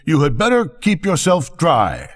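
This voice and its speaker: Practical storybook firework, voiced by an older man: curt, growling, husky, plainly annoyed by nonsense. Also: a little high, huge pitch swings, a little slow, soft background music, pitched above the performer's own voice, exaggerated voice. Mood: blunt. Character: blunt, practical, indignant Roman candle firework.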